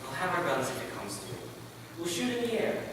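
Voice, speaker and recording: Guy acting a determined devout missionary dad, forceful highish voice, big echoey hall. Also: wildly swooping pitch, a little fast, far from the mic, heavily acted. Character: resolute, devout missionary pilot and father. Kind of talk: theatrical readers theater. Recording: echoey hall from afar, faint noise.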